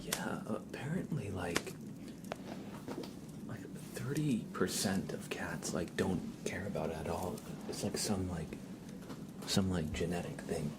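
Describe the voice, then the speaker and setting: Soft, low-voiced man, often whispering. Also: a little slow. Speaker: ASMR livestream host. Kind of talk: whispered, chatty live ASMR. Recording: clear background ambient noise.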